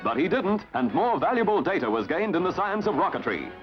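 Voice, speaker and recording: A band-limited vintage newsreel soundtrack with prominent music carries an Australian man's narration. His voice sits fairly high and swings widely in pitch. He delivers scripted 1950s commentary like a jaunty, theatrical announcer. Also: very animated, fairly quick, muffled recording.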